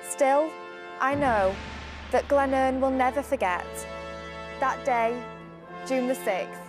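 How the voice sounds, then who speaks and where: A woman's voice: high-pitched, slow pace. A teenage young woman giving a solemn recitation of a war poem. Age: teens. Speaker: student reading a poem. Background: music.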